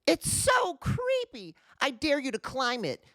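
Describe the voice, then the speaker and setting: A man voicing excitable, adventurous young children in a storybook. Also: very high-pitched, unhurried, no echo, studio quality, heavily acted. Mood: excited.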